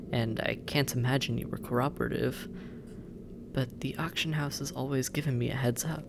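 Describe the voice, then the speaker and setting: An actor playing a sardonic, possessive demon captor in an intimate audio roleplay, musing and teasing, often dropping into breathy murmurs. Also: mid-range voice, noisy background. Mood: exasperated, threatening. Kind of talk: intimate scripted audio roleplay addressed to listener.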